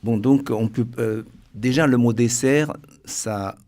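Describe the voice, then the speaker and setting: A man from China, speaking French with a Chinese accent, a guest author in a radio interview who talks haltingly with many restarts. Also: mid-range voice, a little mellow.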